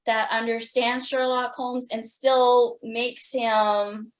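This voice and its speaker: A woman's voice: a little high, flat delivery, slow. A woman hosting a podcast interview in casual, opinionated and emphatic conversation.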